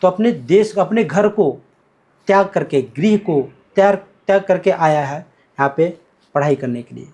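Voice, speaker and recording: A man's voice: on the high side, animated, a little slow, a little mellow. A man from Bihar, a guest teacher in a podcast conversation, explaining verses emphatically and switching between Hindi and Marathi. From Bihar, India. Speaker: guest teacher, academy cofounder.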